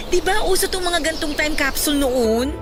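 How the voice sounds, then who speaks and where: A woman playing a curious, cheerful young female friend who exclaims with excitement. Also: sits high, unhurried, over music.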